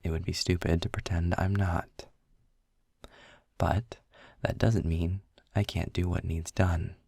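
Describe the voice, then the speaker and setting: Demon guide male actor: very low, unhurried, husky; wry and guarded, for intimate audio drama. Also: level tone, darkish tone, no echo, full character voice. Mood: uneasy, guarded. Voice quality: husky, breathy, gravelly low voice. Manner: dry, measured, halting with pauses. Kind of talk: intimate scripted audio roleplay, slow and deliberate. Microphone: close studio-style microphone, dry.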